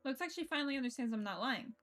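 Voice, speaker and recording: A woman with a fairly high voice streams a visual novel, reading the narration aloud and breaking off into her own expressive, casual comments. She swears freely and interrupts herself. Soft game music plays behind her. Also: racing.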